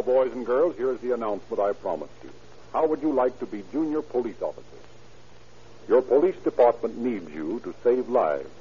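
Male 1930s radio narrator, fairly low, emphatic scripted delivery, muffled with slight noise. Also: slightly rough. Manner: formal, emphatic.

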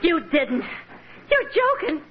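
A woman's voice: extremely high pitch, very animated, takes it slowly, soft background music, exaggerated voice. An actress playing a worried, practical housewife who scolds her husband lovingly in an old radio comedy. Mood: dismayed. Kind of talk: 1940s radio situation comedy acting. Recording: dull old radio broadcast recording.

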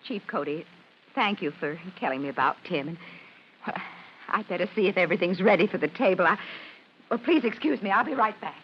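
A woman's voice: medium pitch, lively intonation, fast talker, long pauses, natural voice. An actress playing a tender, earnest, fussing middle-aged mother in an old-time radio drama. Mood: hurt. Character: tender, proud, easily hurt mother. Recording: muffled, hissy old radio recording.

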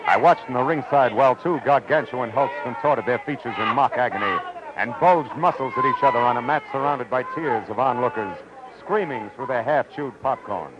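A man's voice: medium pitch, expressive, normal speed, lightly acted. Man as a wry hard-boiled private eye, muffled. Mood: wry, world-weary. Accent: American. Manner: grave, sardonic, clipped. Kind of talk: 1940s radio detective narration and acting. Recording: noisy, muffled 1940s radio recording.

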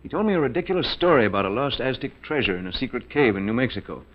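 An actor playing a scheming, skeptical lawyer with a measured, urbane, persuasive way of talking in a 1940s radio mystery. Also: male voice, mid-range voice, sing-song. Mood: hushed, scheming. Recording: dull 1940s radio recording.

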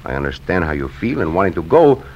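An actor playing a bold, courteous Mexican cowboy hero with a formal, commanding manner and a Mexican accent, in an old-time radio western. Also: male voice, low-pitched, very animated, quick pace, darkish tone. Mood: calm, firm. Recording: noisy, muffled old radio drama recording.

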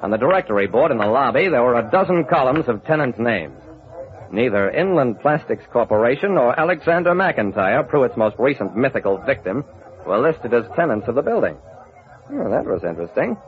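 An actor playing a shrewd, hard-boiled investigator in an old-time radio drama, wry, clipped and confident. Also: male voice, mid-range voice, very animated, fairly quick, close to an everyday voice. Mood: wry, brooding. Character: shrewd, hard-boiled male investigator telling his case. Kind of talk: old-time radio detective narration and acting. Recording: muffled vintage radio recording.

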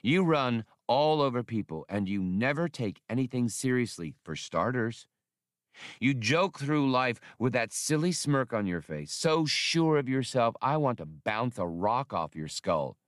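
A man's voice: mid-range voice, very animated, unhurried, no room sound, clean studio sound, voice pushed up. A man voicing a proud, blunt young working-class woman spacefarer in an audiobook, speaking emphatically. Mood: exasperated accusing. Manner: scolding, emphatic.

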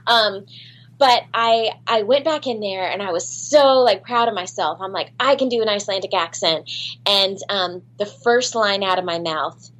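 A woman's voice: mid-range voice, moderate pace, a bit bright. A woman guest in an interview, chatting casually and laughing at herself, with lots of ums and restarts. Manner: chatty, self-deprecating, many fillers and restarts. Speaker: voice actress guest.

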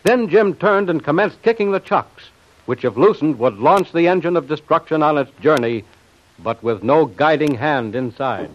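A man's voice: a little high, animated, moderate pace, slight background noise. A man with an American accent narrating a scripted 1940s radio serial in a dramatic, declamatory manner. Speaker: announcer and narrator. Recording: dull old radio recording.

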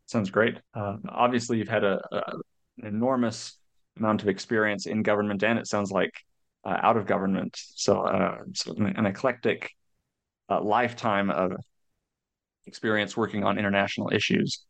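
A man hosting an interview, putting questions in a measured, formal, somewhat halting way. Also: on the low side, level tone, vocal fry, a bit bright. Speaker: interviewer, podcast host.

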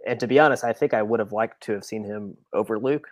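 A man's voice: lowish voice, fast talker, dry recording. A man giving his take in a casual sports podcast chat. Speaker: soccer podcast co-host.

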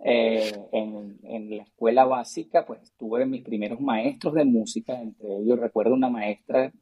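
This is a Venezuelan man in his thirties, a singer-songwriter answering an interviewer's questions. His voice is husky and sits at an ordinary pitch for a man. He speaks earnestly and graciously, often repeating words and using fillers as he gathers his thoughts. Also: fairly even intonation, a little slow, muffled recording. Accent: Venezuelan Spanish. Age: 30s.

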